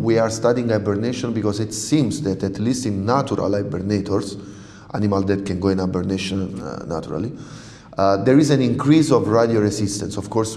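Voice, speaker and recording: A man's voice: on the low side, darkish tone, roomy sound, in a large hall. A man from southern Italy whose first language is Italian, speaking English as a researcher guest. He talks hesitantly, with fillers and restarts. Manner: hesitant, searching for words, many fillers. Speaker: radiobiologist guest. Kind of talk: interview conversation.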